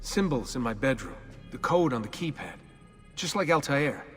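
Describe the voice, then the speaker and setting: A man's voice: mid-range voice, lively intonation, normal speed, fairly bright tone, lightly acted. Guy playing a wary young dude, blunt, plain voice, music faint. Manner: blunt, questioning.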